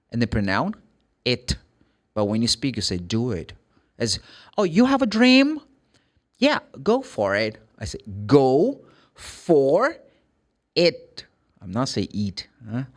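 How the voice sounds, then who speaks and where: Brazilian guy teaching english, native portuguese speaker, kinda high voice, really swingy and emphatic, chatty lesson style. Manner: emphatic, asks and answers own questions, acts out short examples. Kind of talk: informal pronunciation lesson.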